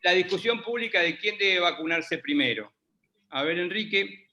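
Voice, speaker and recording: Bright-voiced man moderating a panel. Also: high-pitched, expressive, normal speed. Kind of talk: academic panel discussion.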